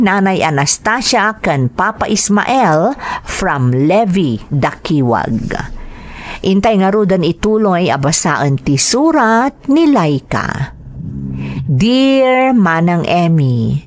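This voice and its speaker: A woman's voice: lowish voice, no room sound. A woman hosting a story podcast, reading greetings and listener letters aloud. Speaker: podcast host and story reader.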